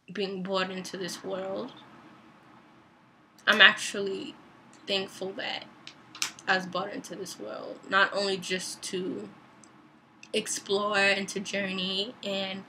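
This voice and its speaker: A young woman talks in a slow, unhurried way, thinking aloud as she reflects on faith. Her voice has a bright, clear edge, though it is going dry and raspy. A faint hum of room noise sits behind her. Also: medium pitch. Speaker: vlogger and author. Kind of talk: reflective personal vlog.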